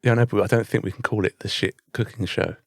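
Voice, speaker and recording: A man's voice: on the low side, steady tone, fast, creaky, dry recording, studio quality. A British man co-hosting a podcast in casual comedic banter, teasing and sarcastic with plenty of swearing. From Britain. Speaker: podcast co-host.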